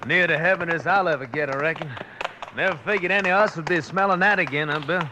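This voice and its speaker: An actor playing a wry, unrepentant captured gunslinger in an old radio western, folksy and sardonic. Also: male voice, a little high, fast talker, lightly acted. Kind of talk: 1950s radio western acting. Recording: slightly noisy old radio recording.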